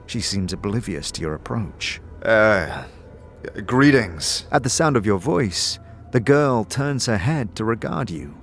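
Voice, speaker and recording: A man's voice: lowish voice, sing-song, moderate pace, soft background music. A man narrating a fantasy audio drama in the second person, in a descriptive, dramatic storytelling manner.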